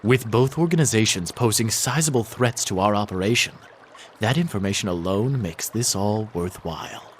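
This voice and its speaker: An actor playing a cold, calculating conspirator in a video game, speaking with formal assurance. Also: male voice, on the low side, expressive, normal speed, a little hiss or hum, lightly acted. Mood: cold resolve. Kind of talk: video game villain acting.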